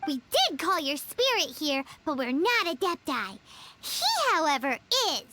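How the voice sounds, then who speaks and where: A woman voicing a small, cheeky, chirpy fairy companion in a video game, with a squeaky childlike voice. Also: sing-song, moderate pace, noisy background. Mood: matter-of-fact. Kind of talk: video game character dialogue. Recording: game music behind the voice.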